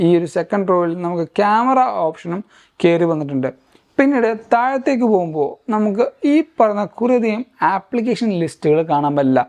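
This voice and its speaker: A man's voice: high voice, a little mellow. Male tech reviewer, instructional, step-by-step explanation, gadget walkthrough commentary.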